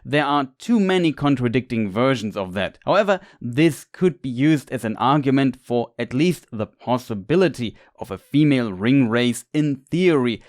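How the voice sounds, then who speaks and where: A man talks through fantasy book lore at a steady, mid-range pitch. His delivery is measured and explanatory, like a prepared narration. The sound is dry and close, with no room echo. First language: German. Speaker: lore video creator.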